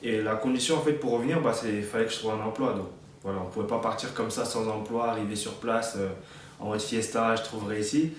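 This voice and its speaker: A man's voice: on the low side, fairly even intonation, fast talker, heavy reverb, distant mic, a little hiss or hum. A man in his late twenties from France, giving casual advice in a chatty vlog, with many fillers and restarts. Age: 20s. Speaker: vlogger.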